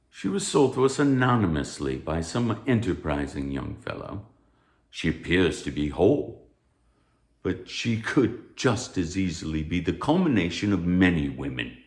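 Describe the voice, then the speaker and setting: An actor playing a stern, pompous anatomy professor, speaking formally and like a lecturer in a theatrical read. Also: male voice, low voice, echoey room, heavily acted. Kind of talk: theatrical table read of a stage musical.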